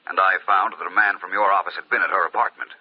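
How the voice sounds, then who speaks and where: On a dull old radio recording, a suave private detective with a low, slightly husky voice. He is courteous and dryly probing, a shrewd gentleman sleuth of classic mystery drama. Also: fairly even intonation, fast. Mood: confident. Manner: polite, probing, dryly understated.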